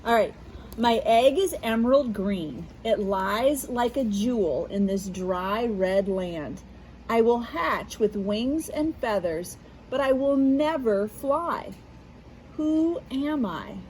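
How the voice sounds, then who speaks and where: A woman's voice: fairly high pitch, lively intonation, takes it slowly, a little mellow, slight background noise, low-quality recording. A woman who works as a school media specialist, reading a picture book aloud to children and talking to them in a patient, teacherly way from her back porch outdoors. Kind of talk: children's read-aloud lesson.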